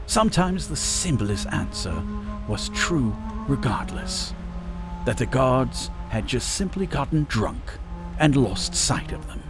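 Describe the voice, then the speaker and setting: A man narrating a scripted fantasy story in a dramatic, measured storytelling manner. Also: medium pitch.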